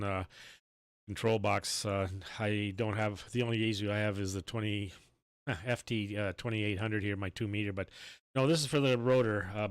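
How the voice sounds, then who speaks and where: A man's voice: fairly low pitch, smooth, no room sound, studio quality. A man chatting casually on amateur radio, rambling and searching for words as he goes. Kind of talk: casual on-air radio chat.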